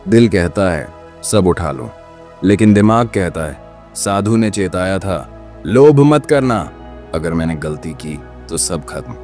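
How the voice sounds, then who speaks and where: An actor playing an honest, determined village blacksmith, earnest and dramatic, in a cartoon moral story. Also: male voice, deep voice, lively intonation, slow, darkish tone, faint music behind. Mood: tempted, torn.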